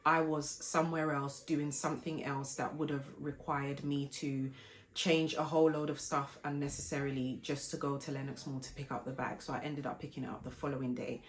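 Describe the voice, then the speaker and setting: A woman, hoarse from a flu, chatting politely as a vlogger telling a shopping story before an unboxing. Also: very deep voice, little pitch movement, a little fast. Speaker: fashion and lifestyle vlogger. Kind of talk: casual unboxing vlog chat.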